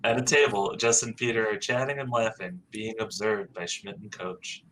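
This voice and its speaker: A man's voice: on the low side, fairly even intonation, moderate pace, bright, forceful tone, a little hiss or hum, rough recording. A man reading stage directions aloud in a plain, matter-of-fact way for a sitcom table read.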